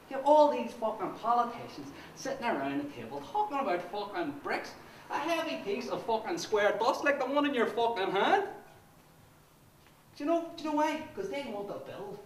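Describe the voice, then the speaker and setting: A man in his twenties with a Northern Irish accent, playing an obsessive, menacing young republican militant in a dramatic monologue, lurching between hushed whispers and profane outbursts. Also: high voice, slight background noise. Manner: swings between hushed self-urging whispers and swearing tirades. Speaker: monologue performer. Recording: echoey room, voice from a distance.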